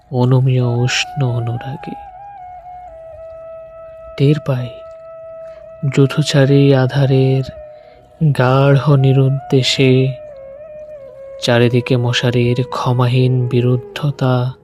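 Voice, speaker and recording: Very slow, solemn man reciting poetry. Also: medium pitch, darkish tone. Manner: deliberate, with weighted pauses between short phrases. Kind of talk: solemn dramatic poem recitation. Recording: music bed under the voice. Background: music.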